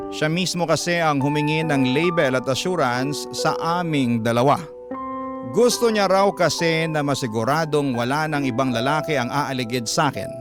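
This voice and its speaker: A man with a Filipino accent narrating a scripted first-person story as a radio host in classic storytelling style. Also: fairly high pitch.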